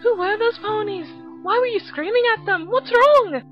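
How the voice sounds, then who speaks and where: A woman's voice: very high voice, moderate pace, dull audio, soft background music, pitched above the performer's own voice. A woman voicing a caring, protective female pony friend from a cartoon, coaxing and motherly, in a fan story. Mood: alarmed. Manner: soothing, coaxing.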